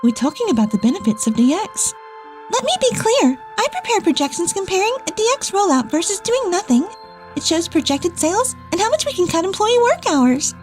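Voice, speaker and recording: A woman's voice: very high voice, big pitch swings, fairly quick, over music. A woman playing an earnest, determined young businesswoman in a manga dub, speaking with insistent emphasis. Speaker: dub voice actor.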